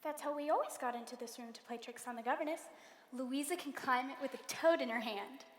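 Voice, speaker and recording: A woman's voice: high voice, close to an everyday voice. An actress playing a defiant teenage girl. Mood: defensive. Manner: guarded, quick to explain herself. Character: teenage girl sneaking home late, defiant. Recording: roomy film soundtrack with long reverb.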